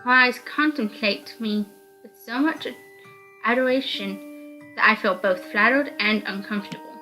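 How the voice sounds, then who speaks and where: A woman's voice: fairly high pitch, measured pace, sharp tone, faint music behind, poor audio. A woman reading first-person story narration aloud from a visual novel.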